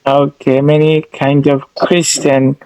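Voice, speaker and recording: Slow-spoken man from India. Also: on the high side, flat delivery, a little mellow, smooth, rough recording. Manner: simple phrasing, calls partner brother. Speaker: conversation partner. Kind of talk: casual language-practice chat.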